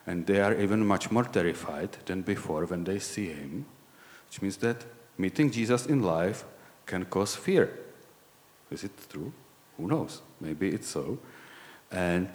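A man in his forties with a Czech accent, a lay preacher giving a sermon in a halting, candid way, often searching for words. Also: low voice, in a large hall. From Czech Republic.

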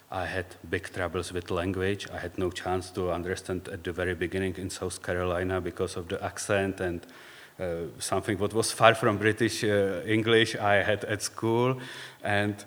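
A man with a Czech accent, speaking English as a second language, gives a reflective personal faith talk in halting, searching phrases. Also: low voice, steady tone. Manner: halting, searching for words, non-native phrasing. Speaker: speaker sharing faith story. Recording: long reverb, hall-like.

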